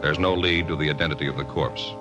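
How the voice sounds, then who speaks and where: A man with an American accent delivering solemn, measured scripted narration as a 1950s radio announcer. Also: very deep voice, fairly even intonation. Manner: measured, dramatic. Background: loud brass music.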